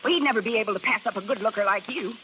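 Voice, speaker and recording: A woman's voice: mid-range voice, very fast, sharp tone, close to an everyday voice. An actress playing a bitter, jilted, scheming carnival showwoman with slangy, tough American talk, in 1930s radio drama style. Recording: noisy 1930s radio recording.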